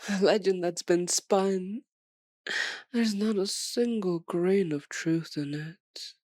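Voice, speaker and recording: A woman's voice: mid-range voice, a little mellow, no echo, studio quality, natural voice. A woman voicing a centuries-old, sadistic female vampire in an ASMR roleplay, with a theatrical, condescending and menacing delivery. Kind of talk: ASMR roleplay, dramatic monologue.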